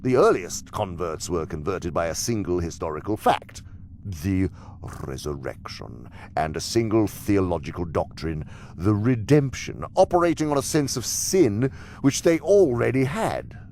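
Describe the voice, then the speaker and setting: A cunning senior demon, holds forth in a very low, creaky voice with wide, theatrical swings. He is imperious and scornful, lecturing like a tutor in an audio drama, with faint noise behind him. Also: lively intonation, unhurried, darkish tone. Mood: calculating, instructive. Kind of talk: audio drama monologue-like instruction.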